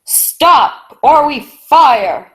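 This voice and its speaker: A stern, commanding ship's captain, played by a woman in an audio drama. The voice is slightly high and measured, with curt authority in every slow phrase. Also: sing-song, pushed and bright, heavily acted.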